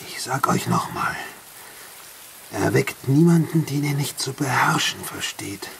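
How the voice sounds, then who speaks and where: An actor playing a shaken, fearful subordinate in a horror radio drama, grave and halting. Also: male voice, mid-range voice, creaky, slight background noise. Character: shaken, fearful man under a captain's command.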